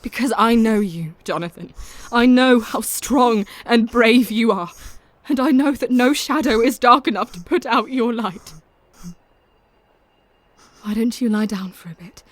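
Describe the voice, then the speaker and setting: An actress playing a devoted, anxious young Victorian wife in a gothic audio drama, speaking tenderly and earnestly with formal period phrasing. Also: female voice, on the high side, unhurried, dry recording. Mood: tender, reassuring.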